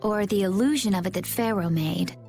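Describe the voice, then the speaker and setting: Composed woman adventurer, female actor, mid-range, calm and faintly mysterious, game dub. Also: mid-range voice, brisk, vocal fry, faint music behind, natural voice. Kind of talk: anime-style game voice acting.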